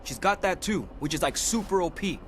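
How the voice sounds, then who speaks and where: Casting: youthful pessimistic joker, lively intonation, casual sarcastic game banter, slight background noise. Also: male voice, sits high, brisk. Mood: nervous, sarcastic. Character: nervous, wisecracking young male superhero, slangy and pessimistic. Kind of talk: video game voice acting.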